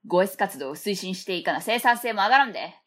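A woman's voice: medium pitch, animated, fast, fairly bright tone. A woman with a Kansai accent voicing a blunt, know-it-all schoolboy in an amateur radio drama. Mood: lecturing. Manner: blunt, lecturing, matter-of-fact.

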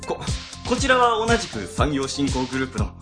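A man's voice: mid-range voice, big pitch swings, brisk, over music, lightly acted. A man playing a middle-aged civil servant boss in a comic radio drama, chatty, easily flustered and ingratiating. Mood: nervous, fawning.

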